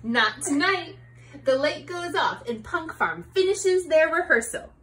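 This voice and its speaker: High-pitched woman reading to children, unhurried. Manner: expressive, addressing young children with questions. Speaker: storybook reader for children. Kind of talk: animated read-aloud of a picture book. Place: small living room, indoors on a couch.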